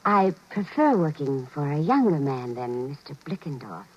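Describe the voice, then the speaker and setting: A coy, flirtatious secretary. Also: female voice, low-pitched, big pitch swings, moderate pace, darkish tone. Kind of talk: 1950s radio drama acting. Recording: muffled vintage radio broadcast with faint hiss.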